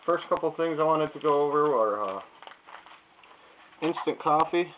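Casting: man, plain unhurried talker with many filler pauses, slightly higher voice, thin tinny camera sound with faint hiss. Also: expressive. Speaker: YouTube vlogger. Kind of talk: casual gear demonstration. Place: indoors at a wooden table.

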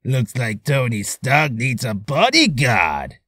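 A man's voice: mid-range voice, huge pitch swings, slow pace, sharp tone, no echo, studio quality, heavily acted. A man voicing a smug, cynical mercenary with sardonic, casual menace for a voice reel. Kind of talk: character voice reel.

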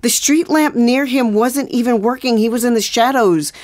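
A woman's voice: a little high, moderate pace, a little mellow, lightly acted. A woman playing a shaken, angry pregnant wife with an American accent in a naturalistic audio drama, insistent and swearing. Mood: defensive, doubting. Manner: agitated, profane, insistent.

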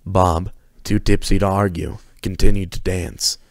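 A man narrates scripted fiction. His voice sits very low, and the recording is dry and close, with hardly any room in it. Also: level tone, a little slow, gravelly, dry recording.

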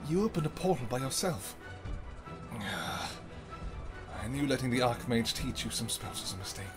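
A man voicing an ancient, protective vampire king in an audio roleplay, who shouts urgent commands and then turns to low, tender murmuring. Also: very animated, unhurried, over music. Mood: scolding. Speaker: voice actor.

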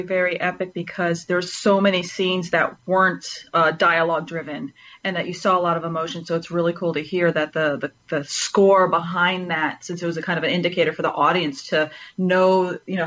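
A woman's voice: on the low side, a bit bright, muffled recording. A woman chatting casually as a fan on a podcast panel.

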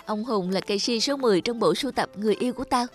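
A woman's voice: fairly high pitch, very fast, faint music behind, close to an everyday voice. A woman voicing a proud, manipulative young ex-girlfriend in a cartoon drama, dramatic and reproachful. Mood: smug.

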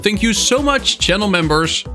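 A man's voice: high voice, lively intonation, normal speed. A Dutch-native man hosting a Minecraft tutorial as a voice-over, explaining step by step with upbeat enthusiasm over faint background music. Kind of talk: screen-recorded software tutorial. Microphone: voice-over over gameplay footage. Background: soft background music.

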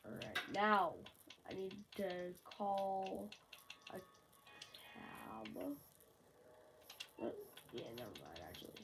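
A woman's voice: mid-range voice, huge pitch swings, gravelly, background noise. A woman with a sore throat, casually commenting on her game from a bedroom and rambling to herself. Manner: slow, rambling, talks to self. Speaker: gamer.

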